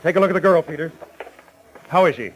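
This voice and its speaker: An actor playing a tough, commanding counterspy chief who gives clipped, urgent orders in 1940s radio style. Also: male voice, on the high side, fast, heavy background noise, natural voice. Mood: tense, commanding.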